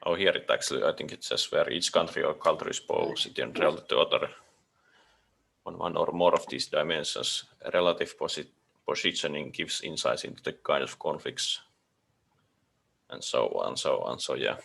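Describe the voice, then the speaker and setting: Very deep, gravelly, husky man. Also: normal speed, fairly bright tone. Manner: tentative, reads from notes. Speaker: student in a group meeting. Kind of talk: casual online meeting. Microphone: video call.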